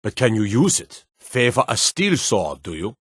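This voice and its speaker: An actor playing a blunt, suspicious city guard in a fantasy video game, with folksy, old-fashioned turns of phrase. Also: male voice, lowish voice, big pitch swings, normal speed, fairly bright tone, slightly rough, no room sound, clean studio sound, heavily acted. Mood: wry, watchful. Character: gruff, wary male city guard in a fantasy realm. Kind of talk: video game character barks.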